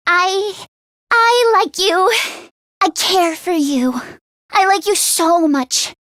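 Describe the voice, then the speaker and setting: A woman voice acting an earnest, anxious teenage schoolgirl in an English video game, with expressive, emotionally heightened delivery. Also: very high-pitched, slow pace, dry recording, clean studio sound, exaggerated voice. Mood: lovestruck.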